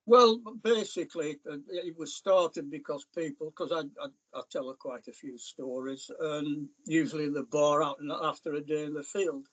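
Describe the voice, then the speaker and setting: An older British man talking in a slightly high voice. He tells his stories plainly, with a dry wit, as a podcast guest. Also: normal speed, hardly any pauses, a bit bright, low-quality recording. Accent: British English. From Britain. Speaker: guest, falconer and author. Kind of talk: casual interview talk.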